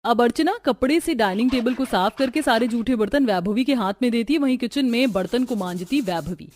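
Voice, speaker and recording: A woman giving scripted narration for an animated family story. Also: on the high side, fairly quick, slight background noise.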